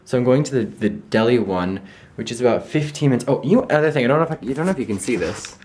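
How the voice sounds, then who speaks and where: A man's voice: mid-range voice, lively intonation, rapid-fire, a little mellow, a little hiss or hum. Rambling young Canadian man vlogging. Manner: rambling, self-correcting, thinks aloud. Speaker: travel vlogger. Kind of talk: casual travel vlog. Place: outdoors in a park and indoors at an apartment.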